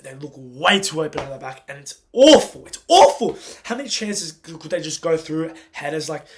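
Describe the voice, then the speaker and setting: Hoarse man ranting after a match. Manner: agitated and emphatic, pitch and loudness climbing when angry. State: hoarse, lost voice. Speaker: football fan reacting after a match. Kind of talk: casual post-match reaction rant.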